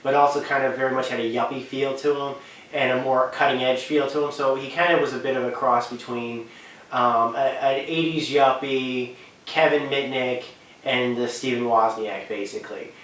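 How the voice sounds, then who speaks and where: A man's voice: mid-range voice, steady tone, normal speed, a bit bright. A man hosting a countdown video with enthusiastic, rambling casual commentary. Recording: very echoey room, distant mic.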